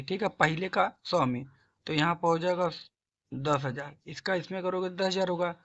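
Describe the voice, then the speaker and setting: Hoarse guy tutoring math, kinda high voice, dull lo-fi sound. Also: a bit bright. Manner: coaxing, asks and answers own questions, clears throat. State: hoarse, sore throat. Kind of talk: classroom-style math lesson.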